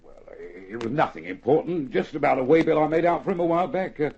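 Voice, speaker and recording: An actor playing an evasive, deferential country sergeant who talks hesitantly in folksy grammar in an old radio drama. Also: male voice, on the high side, expressive, fast, vocal fry, darkish tone, slight background noise. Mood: sheepish, evasive. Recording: muffled old radio transcription recording.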